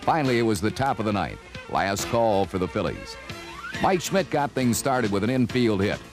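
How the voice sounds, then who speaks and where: Low-voiced man narrating dramatically over music. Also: big pitch swings, normal speed. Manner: dramatic storytelling delivery. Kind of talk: scripted documentary narration of a sports season. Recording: music behind, old vinyl record.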